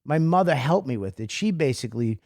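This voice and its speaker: A man with a Boston accent tells his life story as a guest comedian. He rambles and stumbles over restarts, mocking himself. His voice sits mid-pitch in a dry, close recording. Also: expressive, darkish tone, no echo. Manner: rambling, self-deprecating, halting restarts. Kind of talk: interview storytelling.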